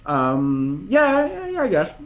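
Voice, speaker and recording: A man's voice: sits high, wildly swooping pitch, takes it slowly. Rambling middle-aged man telling stories. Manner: rambling, many restarts and fillers. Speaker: podcast guest. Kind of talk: casual interview chat.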